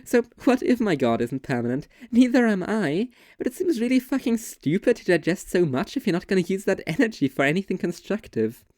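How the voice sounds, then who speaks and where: A performer playing a young woman in a scripted literary debate about ideas, speaking in an articulate, opinionated and deliberate way with a sardonic edge. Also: medium pitch, big pitch swings, a little mellow, no echo, studio quality, close to an everyday voice. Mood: argumentative, sardonic. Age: young adult. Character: two young women debating art, intense and sardonic. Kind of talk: scripted literary dialogue, argumentative monologue delivery.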